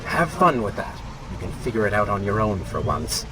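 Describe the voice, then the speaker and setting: An actor playing the sardonic, ruthless dark alter ego of a warrior prince in a video game, with a mocking, sarcastic manner. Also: male voice, fairly low pitch, sing-song, normal speed, background noise. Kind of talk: video game cutscene acting.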